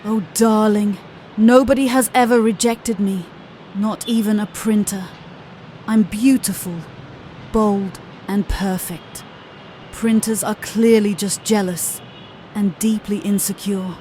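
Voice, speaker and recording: Woman as a mellow, haughty diva puppet. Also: mid-range voice, fairly even intonation, slow, slight background noise, natural voice. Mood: smug. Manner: pompous, condescending. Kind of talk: comic puppet vox pop.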